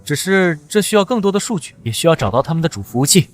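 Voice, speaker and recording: Male actor as cocky young hero, confident, high-pitched, animated fantasy drama, music underneath. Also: fast talker. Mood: confident, explaining. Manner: swaggering, mocking, confident. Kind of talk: animated xianxia drama dubbing.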